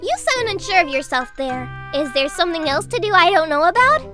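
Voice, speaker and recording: A woman's voice: extremely high pitch, animated, a little fast, bright, forceful tone, faint music behind, full character voice. A woman playing a perky, upbeat young herbalist girl in a fan audio drama, earnest and encouraging. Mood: bubbly, curious.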